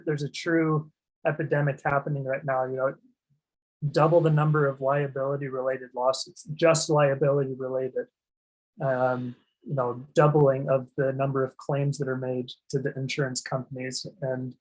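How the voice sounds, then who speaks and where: Husky monotone man explaining finance. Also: medium pitch, low-quality recording. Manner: monotone, many ums and restarts. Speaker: asset protection presenter. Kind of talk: explaining a slide presentation.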